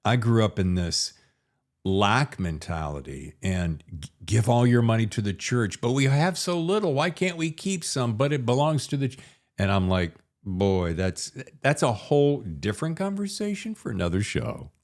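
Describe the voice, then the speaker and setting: A man's voice: mid-range voice, sing-song, moderate pace. A man chatting casually as the host of an interview podcast.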